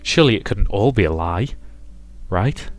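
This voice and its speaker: A man's voice: lowish voice, animated, moderate pace. A man reading a visual novel aloud as a let's player, mixing dramatic narration with casual commentary. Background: game music.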